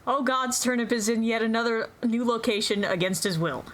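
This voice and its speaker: A woman's voice: on the high side, brisk. A woman doing a casual dramatic reading of a story, with chatty asides. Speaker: roleplay reader. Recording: background noise and ambience.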